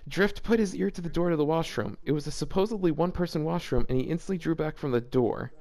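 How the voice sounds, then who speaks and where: Higher-pitched man reading fan fiction with incredulous asides. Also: fast, dark tone. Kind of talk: casual read-aloud with joking commentary.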